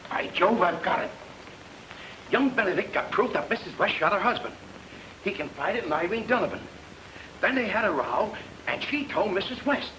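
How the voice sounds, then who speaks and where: A faintly noisy old radio recording of an excitable sidekick. He pitches his voice high and sharp, spinning theories with dramatic flair. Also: moderate pace, long pauses, exaggerated voice. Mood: excited. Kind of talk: old-time radio detective drama acting.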